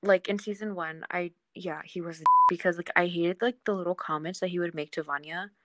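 Lower-voiced young woman, casual podcast host. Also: steady tone, brisk, a bit bright. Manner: informal, slangy, agreeable. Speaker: podcast host guiding the discussion. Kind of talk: casual TV discussion.

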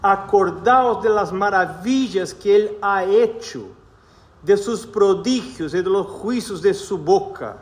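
A middle-aged man from Brazil preaching a sermon in a church, with a Brazilian Portuguese accent and a measured manner broken by hesitant fillers. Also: sits high. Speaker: pastor.

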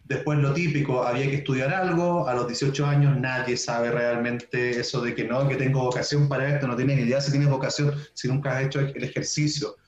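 A man from southern Chile with a Chilean Spanish accent, a researcher talking in an interview, relaxed and talkative. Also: medium pitch. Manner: relaxed, talkative, fills pauses with "ya". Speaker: guest researcher. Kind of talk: interview conversation. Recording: roomy, dull sound.

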